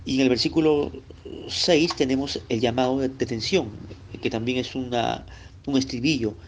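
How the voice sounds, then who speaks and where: A man's voice: medium pitch, steady tone, moderate pace, highs cut off, a little hiss or hum. A man from Lima, Peru, with a Peruvian accent, teaching a recorded Bible lesson in a conversational way. He speaks straight to the listener and often checks in with short tag questions. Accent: Peruvian Spanish, Lima.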